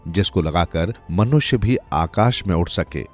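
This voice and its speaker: Man reading as eager young peasant, lively intonation. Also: low-pitched, a little slow, faint music behind. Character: clever idle young peasant son, kind and eager. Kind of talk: storybook character dialogue.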